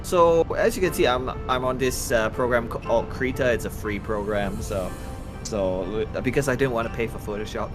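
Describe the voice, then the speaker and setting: A middle-aged man with a medium-pitched voice chats casually as he demonstrates things on a livestream. Music plays clearly behind his talk. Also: normal speed. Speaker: livestream co-host and game artist. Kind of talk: casual chat, screen demonstration.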